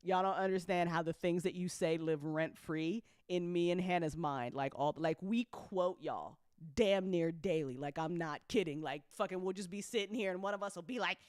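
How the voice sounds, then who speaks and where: A woman's voice: fairly low pitch, normal speed, hardly any pauses, darkish tone, smooth, no room sound, clean studio sound. A woman hosting a casual, chatty recap for her community, effusive and freely sweary, often repeating phrases for emphasis. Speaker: host, audio drama creator.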